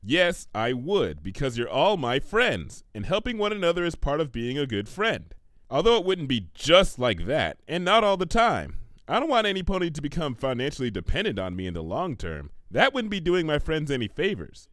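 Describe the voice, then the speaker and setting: A man's voice: fairly high pitch, big pitch swings, moderate pace, fairly bright tone, no room sound, studio quality. A man voicing a prim, bookish young female cartoon pony, earnest and precise as she lectures. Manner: lecturing, precise, matter-of-fact.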